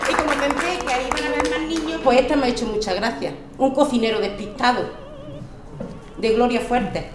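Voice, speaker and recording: A woman from Spain reading poems aloud, animated and storytelling, voicing the quoted lines. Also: sits high, fairly quick, echoey room, over loud music. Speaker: poetry reciter at a community reading. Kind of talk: expressive poetry recitation.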